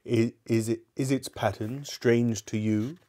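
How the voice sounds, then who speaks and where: A man's voice: mid-range voice, fairly even intonation, a little slow, warm tone, close to an everyday voice. A man voicing the solemn, mournful ghost of a dead businessman in a storybook reading. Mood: woeful. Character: grave, doomed male ghost of a dead businessman.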